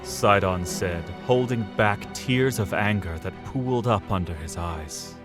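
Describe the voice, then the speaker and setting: A man narrating a fantasy audio drama in a measured, dramatic storytelling manner. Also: lowish voice, music in the background.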